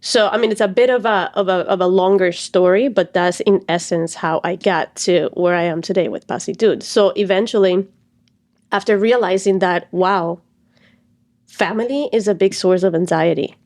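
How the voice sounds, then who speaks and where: A woman's voice: on the low side, normal speed, darkish tone. A woman in her thirties from Cuba, a stress and anxiety coach, explaining her story earnestly as a podcast guest. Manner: earnest, explains at length with fillers. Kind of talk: reflective interview talk.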